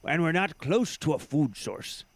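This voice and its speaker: A man's voice: fairly high pitch, big pitch swings, a little slow, husky, no echo. A man playing a tabletop game, joking and making stubborn quips. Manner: playful, jokey, stubborn quips. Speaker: player.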